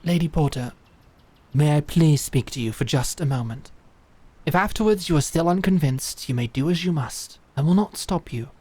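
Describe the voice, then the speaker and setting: A woman's voice: sits low, slightly rough, no echo. A woman playing a composed, courteous female knight who speaks formally and persuasively in an audio drama. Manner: calm, formal, measured persuasion.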